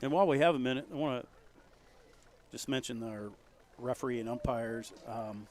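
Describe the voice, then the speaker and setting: Folksy small-town football announcer. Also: male voice, medium pitch, moderate pace. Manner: folksy, gets loud and excited on big plays. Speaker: play-by-play commentator. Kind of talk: local high school football commentary.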